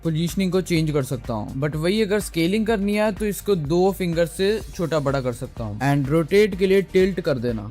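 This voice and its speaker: Indian male tutorial presenter, high voice, informal and instructional, English words mixed in, faint music underneath. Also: a little mellow. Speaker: video editing tutorial presenter. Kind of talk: casual step-by-step tutorial narration.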